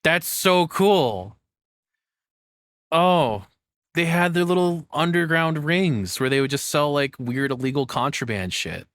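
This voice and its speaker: A man's voice: a little high, animated, slow pace, a bit bright, dry recording, clean studio sound. A man giving casual reaction commentary as a streamer, rambling along in informal, slangy speech.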